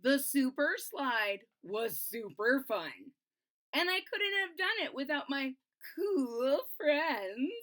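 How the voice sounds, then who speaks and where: Lady doing a high squeaky nervous bean kid, shaky and fretful. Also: sing-song, slow pace, a bit bright, full character voice. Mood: relieved. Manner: fretful, quavering, rising to shrill cries. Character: nervous little male bean, timid, anxious.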